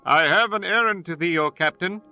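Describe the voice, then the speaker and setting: A man voicing a fierce young prophet in a dramatized scripture reading, loudly declaiming divine judgment. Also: high-pitched, normal speed, pitched above the performer's own voice, heavily acted. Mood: fierce, solemn. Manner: loud, declamatory. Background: music.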